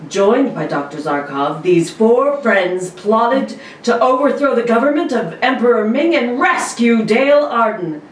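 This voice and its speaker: A woman's voice: on the high side, animated, measured pace, few pauses. Playful woman announcer, roomy sound. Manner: playful, dramatic reading. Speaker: guest co-host and announcer. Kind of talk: casual chat and mock radio narration. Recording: roomy, distant mic, faint noise.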